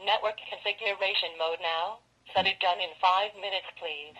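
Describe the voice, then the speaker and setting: A woman's voice: medium pitch, fairly even intonation, normal speed, bright, forceful tone, rough recording. Automated female device voice prompt. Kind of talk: scripted system announcements.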